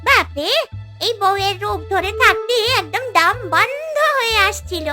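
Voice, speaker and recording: Really high pitched sneaky witch, scheming to herself, loud cartoon villain, music behind. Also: expressive, measured pace. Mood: relieved, sly. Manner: theatrical, scheming self-talk. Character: sly female witch muttering secret schemes, fairy-tale villain. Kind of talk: Bengali fairy-tale cartoon voice acting.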